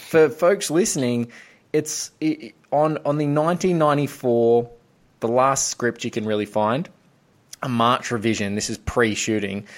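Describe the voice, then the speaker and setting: A man hosting a film podcast in a giddy, effusive, emphatic way. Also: medium pitch. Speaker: podcast host. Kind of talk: enthusiastic film podcast introduction.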